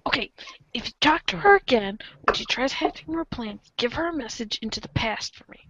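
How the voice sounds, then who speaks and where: Woman as grumpy young guy troll, creaky, bright forceful edge, cranky ranting, dull lo-fi audio. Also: on the high side, animated, fairly quick, no room sound, full character voice. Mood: bossy, cranky. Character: grumpy, foul-mouthed young male internet troll, exasperated. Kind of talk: amateur reader's theater.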